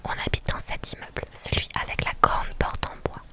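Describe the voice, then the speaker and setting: A woman's voice: a little fast, lightly acted. Woman whispering as a bubbly young woman friend. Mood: helpful. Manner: whispered, chatty.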